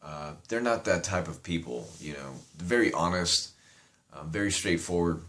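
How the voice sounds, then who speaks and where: A man's voice: low-pitched, expressive, normal speed, fairly bright tone. A young man with a slight Persian accent, rambling casually through a product review and swearing now and then. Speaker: vape reviewer.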